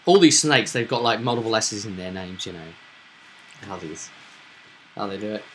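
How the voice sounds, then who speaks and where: A man's voice: lowish voice, lively intonation, moderate pace, poor audio. A man casually recapping a tabletop roleplaying session as game master, with a storyteller's phrasing and tag questions.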